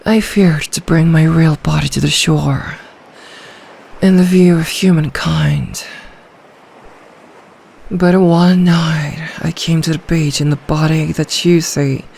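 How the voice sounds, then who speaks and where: A woman's voice: deep voice, steady tone, darkish tone, heavily acted. A woman voicing an ancient, lonely, possessive sea dragon in human form, in a brooding, formal ASMR fantasy roleplay. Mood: wistful. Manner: slow, storybook-formal, brooding, lapses into breathy whispers.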